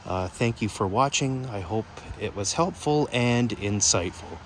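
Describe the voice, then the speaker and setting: A man's voice: on the low side, lively intonation, measured pace. A man giving a casual, plain-spoken, explanatory demonstration outdoors into a phone. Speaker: hobbyist video presenter. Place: outdoors on a backyard patio at night. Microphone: handheld phone camera. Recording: outdoor phone video with background noise.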